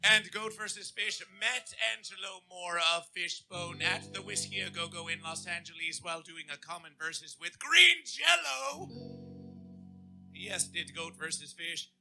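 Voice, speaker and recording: High, gravelly, shouting man emcee. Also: measured pace, soft background music. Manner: bombastic, absurd wordplay, bellowing. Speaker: festival emcee. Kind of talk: shouted comic stage introductions.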